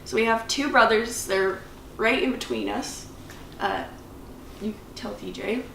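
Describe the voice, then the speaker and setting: A woman's voice: fairly high pitch, unhurried, creaky, fairly bright tone, echoey room, recorded from a distance, a little hiss or hum, rough recording. A woman around twenty co-hosting a casual vlog, chatty and giggly. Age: 20s. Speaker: channel co-host. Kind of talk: casual chat.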